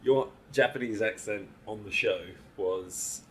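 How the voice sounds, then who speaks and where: A man's voice: on the low side, measured pace, slight background noise. A man interviewing a guest, upbeat and reading out fan questions.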